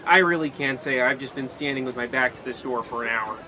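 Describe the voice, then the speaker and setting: A man's voice: medium pitch, a little fast, bright, forceful tone, slight background noise. A man playing a dutiful, earnest police officer in a comedy radio play, deferential and a bit exasperated.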